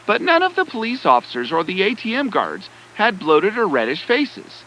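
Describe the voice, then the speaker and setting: A man playing a confident, sharp young detective in an animated story, speaking deliberately and with authority. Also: high-pitched, a little hiss or hum, heavily acted, rough recording. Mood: confident explaining. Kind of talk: dramatic cartoon story acting. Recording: background music under the voice.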